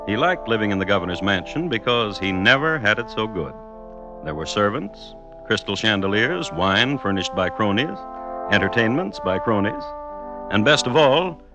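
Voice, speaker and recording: An actor playing a droll, deadpan connoisseur of crime, narrating an old-time radio show as host in a measured, wry manner. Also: male voice, fairly low pitch. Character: droll male connoisseur of crime hosting true murder tales. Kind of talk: 1950s radio scripted narration.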